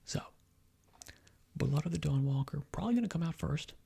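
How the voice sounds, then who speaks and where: A man giving soft-spoken ASMR gaming commentary in a breathy half-whisper, rambling and opinionated in a conversational way. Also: fairly high pitch, expressive, normal speed. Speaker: gaming video host.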